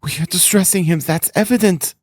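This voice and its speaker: A man's voice: sits high, a little fast, darkish tone, no echo, pitched above the performer's own voice. A man voicing a concerned, firm young woman in an audiobook, speaking with controlled urgency. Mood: dismayed. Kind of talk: audiobook character acting.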